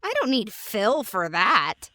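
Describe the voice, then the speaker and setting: A woman's voice: medium pitch, huge pitch swings, slow pace, sharp tone, no echo, full character voice. An actress playing a snooty, scheming teenage queen bee in a comedic radio play. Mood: smug.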